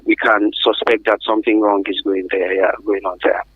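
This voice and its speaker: A man's voice: on the low side, flat delivery, normal speed, breathy edge, dry recording. A man with a Nigerian accent speaking as an expert guest in a radio interview, explaining things emphatically and often answering his own questions. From Africa. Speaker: guest expert on survivors of trafficking. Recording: thin, phone-like radio line.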